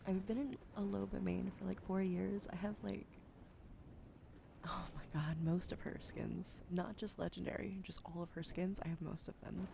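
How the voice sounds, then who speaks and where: A young woman whispers close and soft in ASMR style, her voice creaky and gravelly at the edges. Her throat is wheezy, and she chats casually, thanking viewers and swearing now and then. There is a clear hiss of noise under her stream mic. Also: medium pitch, fairly even intonation, normal speed.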